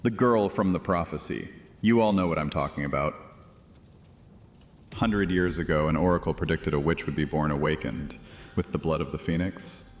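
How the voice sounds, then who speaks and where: A man playing a scheming, insistent high priest in a fantasy audio drama, theatrical, persuasive and sardonic. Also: normal speed. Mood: pressing, sly. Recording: long cavernous reverb, music underneath.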